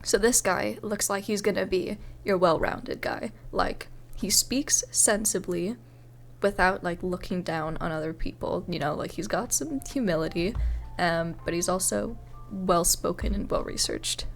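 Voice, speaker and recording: Creaky-voiced woman giving casual game commentary. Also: on the low side, unhurried, soft background music. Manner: informal, reactive. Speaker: gaming video creator. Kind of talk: casual let's play commentary.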